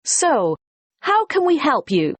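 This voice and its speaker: A woman playing a helpful investment society employee, reading a scripted customer information message in a clearly articulated, persuasive way. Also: sits high, huge pitch swings, slow, no room sound, exaggerated voice. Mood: upbeat, reassuring. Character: helpful female investment society employee promoting savings services.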